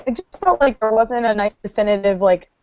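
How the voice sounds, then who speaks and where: A woman's voice: a little high, brisk, highs cut off. A woman chatting casually in a book discussion, acting out her reactions as quotes and saying "like" often.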